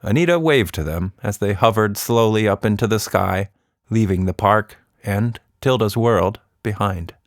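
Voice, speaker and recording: A man narrating a scripted children's story as a storyteller, in an expressive, deliberate manner. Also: fairly low pitch, dry recording, clean studio sound.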